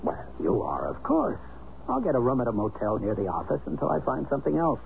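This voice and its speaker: An actor playing a bewildered, wry but soft-hearted American husband in a 1970s radio drama. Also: male voice, mid-range voice, huge pitch swings, a little fast, gravelly. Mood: subdued, wry. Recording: muffled, hissy 1970s radio drama recording.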